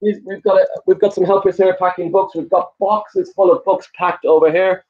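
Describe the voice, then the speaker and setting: A man with an Irish accent, whose first language is Irish, talking fast and eagerly as a guest on a video call, rattling off lists in a pushy sales pitch. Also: level tone, dull audio. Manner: fast, pushy, lists things off in rapid bursts. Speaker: guest, property investor. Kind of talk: enthusiastic sales pitch in conversation.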